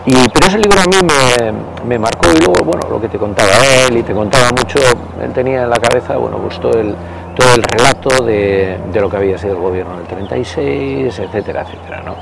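A man, telling stories as an interview guest, hesitant with many pauses and restarts and some casual swearing. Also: medium pitch, vocal fry. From Basque Country. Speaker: interview guest, party member. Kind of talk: conversational storytelling interview. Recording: loud background noise.

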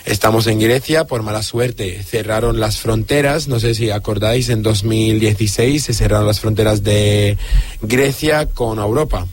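A young man from Syria, a radio interview guest telling his own story in an earnest, halting way with many fillers and restarts. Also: fairly low pitch.

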